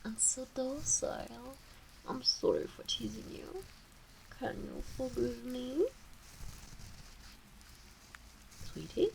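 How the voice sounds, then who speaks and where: A woman voicing a teasing, doting kitsune witch in an intimate ASMR roleplay, cooing softly and often dropping into whispers. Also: a little high, very animated, a little slow, vocal fry, a little hiss or hum, exaggerated voice, rough recording. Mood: affectionate, apologetic.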